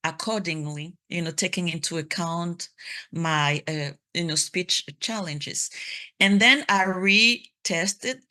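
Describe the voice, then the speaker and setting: Thirties woman from Cameroon, heavy stutter with restarts, lowish pitch, bright forceful tone. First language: French. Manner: stutters with repeated sounds and restarts. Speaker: speaker sharing her story. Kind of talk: personal testimony talk.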